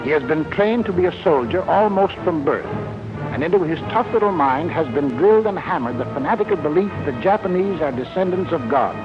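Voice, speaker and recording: An American man narrating a wartime propaganda film in a scripted, grave, authoritative and measured delivery. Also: on the high side, expressive. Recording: muffled 1940s film soundtrack, loud music. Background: loud film score.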